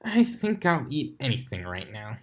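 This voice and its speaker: A man's voice: medium pitch, big pitch swings, moderate pace, pitched above the performer's own voice, lightly acted. A man voicing a brash, teasing young female pegasus pony in a casual, cocky way for a fan fiction reading.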